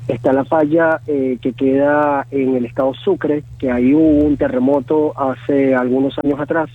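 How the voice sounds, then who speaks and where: A man's voice: mid-range voice, fairly even intonation, no room sound. Venezuelan man on a tinny phone line. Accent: Venezuelan Spanish. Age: 30s. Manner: halting, many fillers and restarts. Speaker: phone-in guest. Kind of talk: phone interview.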